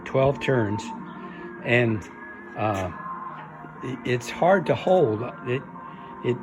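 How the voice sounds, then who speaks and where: Slow-talking man giving a halting hobbyist demonstration. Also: mid-range voice. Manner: halting, hesitant explaining with trailing phrases. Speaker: radio restoration hobbyist demonstrating a restored set. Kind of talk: informal unscripted demonstration.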